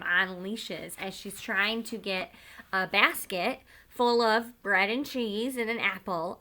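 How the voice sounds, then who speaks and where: Bright-voiced woman teacher reading aloud. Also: mid-range voice. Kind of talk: informal talk to pupils and storybook read-aloud.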